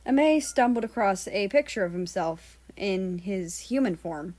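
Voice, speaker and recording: A woman's voice: medium pitch, animated, measured pace, dark tone. Woman reading a story aloud. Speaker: fan fiction reader.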